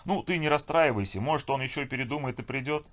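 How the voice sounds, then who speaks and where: A man voicing a bossy, lively young woman who talks in an insistent, chatty way. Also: mid-range voice, fast, voice pushed up, lightly acted. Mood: cheerful, sympathetic.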